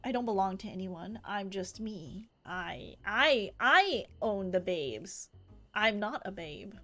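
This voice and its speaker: A woman's voice: medium pitch, lively intonation, slow, fairly bright tone. A woman live-streaming a game, chatting casually in a playful, rambling manner. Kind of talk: casual livestream chat, reading game dialogue aloud.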